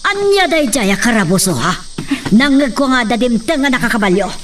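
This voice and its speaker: A woman's voice: fairly high pitch, sing-song, normal speed, far from the mic, background noise. A woman playing an imperious, hot-tempered wealthy matron in a radio drama, scolding and demanding. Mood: scornful, angry.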